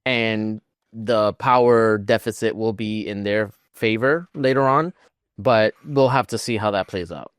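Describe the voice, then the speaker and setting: A man's voice: lowish voice, unhurried, clear, resonant tone, no echo, clean studio sound. A man co-hosting a podcast, chatting informally in a rambling way with lots of "like". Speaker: podcast co-host. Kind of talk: casual chat.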